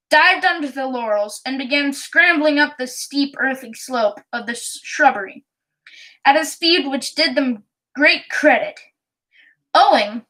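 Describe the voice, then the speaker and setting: A woman reading a children's storybook aloud in a careful, halting way. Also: high-pitched, pushed and bright, rough recording. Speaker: audiobook reader.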